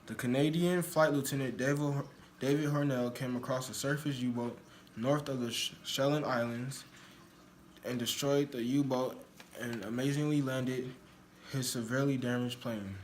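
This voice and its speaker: A man's voice: medium pitch, steady tone, normal speed, slight background noise. A man reading a scripted news report aloud in an amateur recording.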